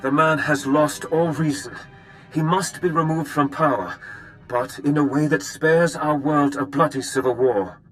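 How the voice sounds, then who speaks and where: A man's voice: fairly high pitch, fairly even intonation, a little slow, fairly bright tone, soft background music. Earnest, courtly nobleman. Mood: grave, persuasive. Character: earnest, courtly nobleman count, idealistic and formal. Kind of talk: video game dialogue acting.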